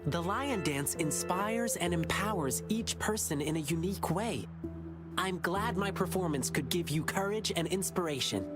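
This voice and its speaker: A performer voicing a gentle, earnest young lion-dancer beast boy in a video game. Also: medium pitch, animated, normal speed, music in the background, natural voice. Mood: warm, encouraging. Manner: earnest, kindly.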